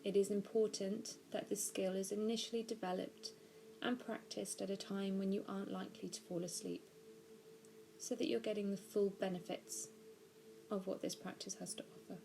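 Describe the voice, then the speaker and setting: A woman narrating a guided body scan meditation, giving gentle, measured instructions slowly with pauses. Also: medium pitch, flat delivery, vocal fry, rough recording. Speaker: mindfulness practitioner guiding a meditation.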